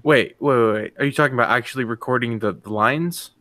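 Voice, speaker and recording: A man of medium pitch chats through plans in a casual brainstorm, recorded dry with little room sound. He is a bit hesitant and circles back to restate his ideas. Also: quick pace, fairly bright tone, no room sound. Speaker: co-producer who makes music.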